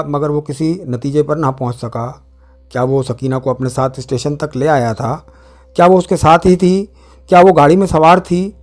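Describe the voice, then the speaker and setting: A man's voice: a little high, a little mellow. A man narrating a literary short story in a scripted, dramatic reading style.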